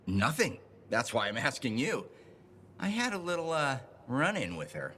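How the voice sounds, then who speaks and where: Clueless chummy guy with wildly animated pitch. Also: moderate pace, a little hiss or hum. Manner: rambling, casual, self-assured. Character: clueless, over-friendly barfly man, cheerfully shameless. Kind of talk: video game character dialogue.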